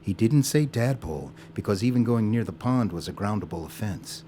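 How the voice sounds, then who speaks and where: A man narrating a scripted audio drama as a storyteller, with a measured, wry, theatrical delivery. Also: fairly low pitch, animated, dark tone, a little hiss or hum, close to an everyday voice.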